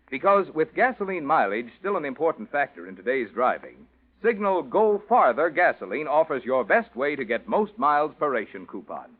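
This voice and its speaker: A man's voice: mid-range voice, phone-quality sound. A man announcing in 1940s radio style, reading a sponsor message with polished, emphatic salesmanship. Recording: band-limited old 1940s radio recording.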